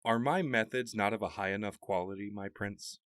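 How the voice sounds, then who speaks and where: A man's voice: fairly low pitch, normal speed, fairly bright tone, no room sound, studio quality, lightly acted. A man playing a steadfast warrior mentor, loyal to a prince, in an audio drama.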